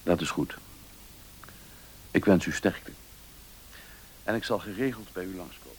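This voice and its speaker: An actor playing a grave, authoritative family doctor, formal and measured, in a Dutch radio play. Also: male voice, low voice, noisy background.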